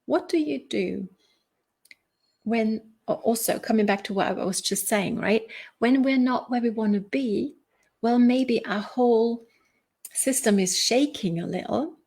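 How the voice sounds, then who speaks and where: A woman's voice: on the high side, unhurried, darkish tone, slightly rough. Woman giving a motivational talk. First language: German. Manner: conversational, seeks agreement with rhetorical questions. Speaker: motivational coach and video host.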